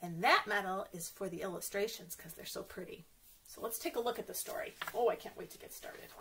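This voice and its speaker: A woman doing a children's story time, animated and sing-song, speaking straight to young listeners and asking them questions. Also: medium pitch, fairly quick, slight background noise, low-quality recording. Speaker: children's librarian reading stories to kids.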